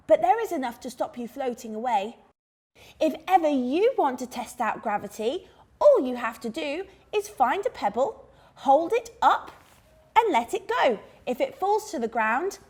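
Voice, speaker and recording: A woman reading a scripted story to young children with expressive, dramatised delivery. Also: sits high. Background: music under the reading.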